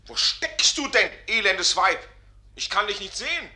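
High, fervent young man, echoing. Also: expressive, a little slow, bright, forceful tone, full character voice. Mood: angry. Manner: declamatory, fervent. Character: impassioned, tormented young student, lovesick dreamer. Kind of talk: theatrical German radio play acting. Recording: echoing studio, faint music behind.

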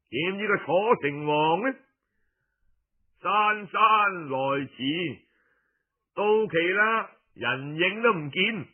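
A man tells historical tales as a traditional oral storyteller, colloquial and theatrical, drawing out his phrase endings with pauses. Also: high-pitched, husky, narrow, tinny audio.

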